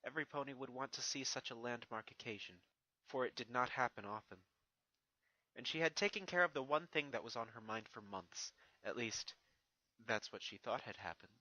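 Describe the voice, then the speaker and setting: A man's voice: mid-range voice, animated, normal speed, sharp tone, dry recording, low-quality recording. A man reading a scripted story as its narrator. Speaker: fan fiction narrator.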